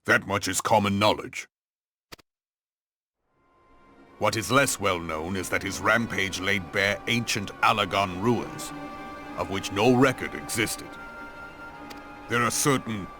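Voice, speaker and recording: Deep-voiced, stern fantasy military commander played by a man. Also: natural voice. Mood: grave, explanatory. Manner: grave, formal, archaic phrasing. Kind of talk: scripted video game drama.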